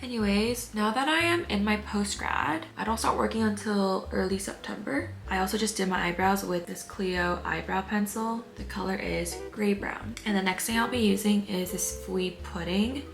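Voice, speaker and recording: A woman, talking softly and reflectively through a get-ready-with-me vlog voice-over. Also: medium pitch, normal speed, gravelly, few pauses, roomy sound, soft background music. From United States. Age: 20s. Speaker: beauty vlogger.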